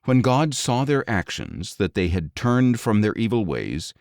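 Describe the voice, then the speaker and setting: A man reading scripture as an audiobook narrator in a measured, solemn, dramatic storytelling style. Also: fairly low pitch, big pitch swings, darkish tone, no echo, clean studio sound.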